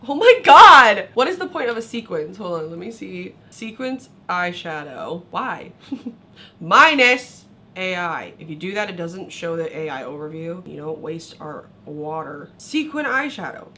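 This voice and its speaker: A young woman with a hoarse, sick voice, chatting casually through a makeup review, laughing often and going off on tangents. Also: fairly low pitch, very animated, measured pace, pushed and bright, a little hiss or hum. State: hoarse from illness. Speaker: beauty vlogger.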